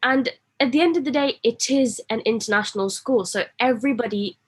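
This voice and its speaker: Reflective young woman, slightly higher voice. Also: breathy edge. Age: young adult. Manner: reflective, measured, repeats "you know". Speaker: alumna panelist. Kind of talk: panel answer.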